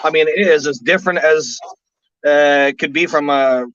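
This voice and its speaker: A man's voice: a little high, moderate pace, a bit bright. A man in his thirties, a co-host of a casual chat show, talkative and curious, with loose, wandering phrasing. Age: 30s. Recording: dull lo-fi audio.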